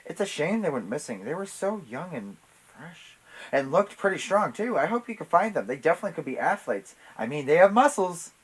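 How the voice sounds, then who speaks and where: Casting: sinister shopkeeper woman played by a man, falsely sweet, loud, lively pitch swings, raspy edge. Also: fairly quick, poor audio. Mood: slyly sinister. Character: brazen murderous woman butcher, sweetly sinister.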